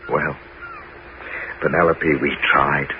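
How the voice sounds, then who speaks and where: Very deep-voiced wily Greek warrior king. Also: flat delivery, slow. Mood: resigned. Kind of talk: 1970s radio drama acting. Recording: dull, noisy old radio broadcast recording.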